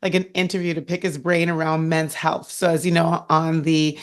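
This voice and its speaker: A woman hosting a podcast, introducing her guest in a bubbly, laughing, animated way with a husky voice. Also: low voice, moderate pace. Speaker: podcast host. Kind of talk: energetic interview intro.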